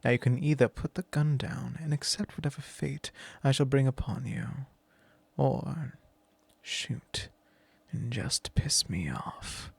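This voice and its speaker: A man's voice: medium pitch, slow, creaky, slightly rough, dry recording, heavily acted. A man voicing an arrogant, possessive immortal vampire in an ASMR roleplay, talking down in a drawl and sometimes whispering close to the mic. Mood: menacing.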